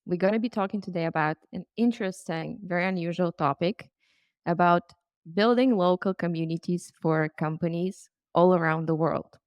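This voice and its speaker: A woman hosts a podcast in a low voice with a gravelly edge. She sounds upbeat and welcoming. The recording is close and dry, with no room sound. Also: normal speed, no echo, muffled recording. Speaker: podcast host. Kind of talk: hosting a podcast interview.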